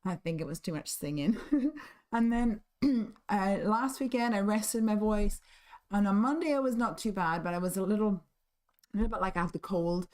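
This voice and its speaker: Husky-voiced woman, hoarse. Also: mid-range voice, moderate pace, darkish tone. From United Kingdom. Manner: chatty, friendly, many ums and little laughs. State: hoarse, losing her voice. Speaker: craft stream host and shop owner. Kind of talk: live-streamed crafting chat.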